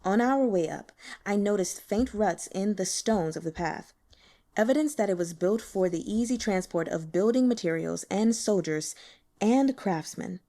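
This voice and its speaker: Woman voicing a shrewd, mellow, sickly male investigator. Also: medium pitch, expressive, moderate pace, close to an everyday voice. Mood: explaining, assured. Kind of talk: audiobook character dialogue.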